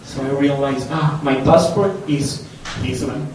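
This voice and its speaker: A young man speaks from far off in a very echoey room. He gives a personal testimony slowly and haltingly, with nervous, self-deprecating delivery. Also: mid-range voice. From Colombia. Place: reverberant room, speaking to a gathering. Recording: echoey room, distant mic, faint noise.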